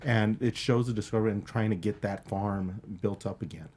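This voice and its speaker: A man giving casual film opinions as a panel critic, speaking in a halting, plain-spoken way. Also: lowish voice, fairly even intonation, darkish tone, a little hiss or hum. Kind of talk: casual film review chat.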